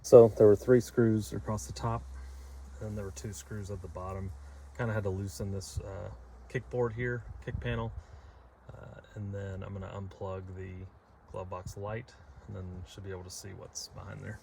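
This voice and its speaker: A man's voice: on the low side, little pitch movement, normal speed, gravelly, noisy background. A man giving a casual, unscripted how-to as a DIY vlogger, thinking aloud as he goes. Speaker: DIY vlogger installing a car radio.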